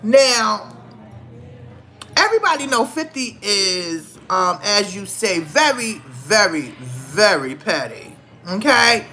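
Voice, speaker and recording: A woman's voice: mid-range voice, sing-song, slow pace, pushed and bright. A middle-aged woman giving casual, opinionated commentary in a conversational, emphatic way, repeating phrases for stress. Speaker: vlogger.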